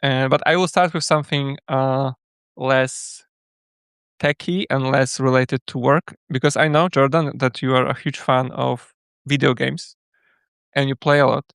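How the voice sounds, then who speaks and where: A man's voice: mid-range voice, steady tone, a little slow, creaky, a bit bright, clear, resonant tone, no room sound, studio quality. A man hosting a casual live interview, introducing his guest and putting questions to him. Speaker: livestream host and interviewer.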